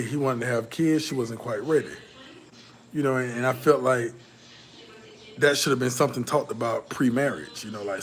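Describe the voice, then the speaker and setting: A man holding forth in a loose vlog monologue, speaking in a mid-pitched voice. He talks bluntly with cursing and lots of "you know," swinging from loud, pushed emphasis to low, muttered asides. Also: a little hiss or hum. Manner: blunt, profane, repetitive fillers. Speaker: vlogger. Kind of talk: casual commentary to camera.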